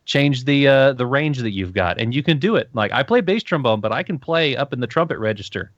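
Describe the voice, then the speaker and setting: A man's voice: medium pitch, lively intonation, fairly quick, dry recording. A man co-hosting a casual podcast, rambling with many restarts in an upbeat way. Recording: dry, dull lo-fi audio.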